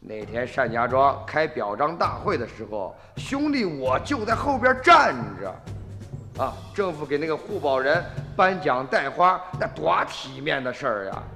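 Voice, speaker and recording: A man's voice: fairly high pitch, lively intonation, a little slow, creaky, pushed and bright, big-room sound, soft background music, exaggerated voice. An actor playing a sly male grave robber posing as a junk collector in a radio drama, coaxing and probing as he talks. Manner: wheedling, probing small talk.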